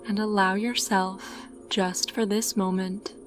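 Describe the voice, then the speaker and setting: A woman narrating a scripted guided sleep meditation in a calm, soothing, unhurried manner. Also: mid-range voice, soft background music.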